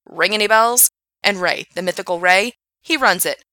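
A woman voicing an angry young male park worker who rants loudly and swears. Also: on the high side, normal speed, creaky, dry recording, heavily acted. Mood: furious. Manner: loud, swearing, ranting.

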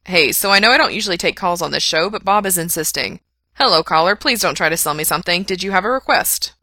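A woman's voice: lowish voice, lively intonation, fast talker, bright, forceful tone, dry recording, studio quality, heavily acted. A woman voicing a sarcastic, easily flustered male punk radio DJ in a story reading, with blunt, emphatic delivery. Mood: brisk, sarcastic. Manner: emphatic, blunt, on-air patter.

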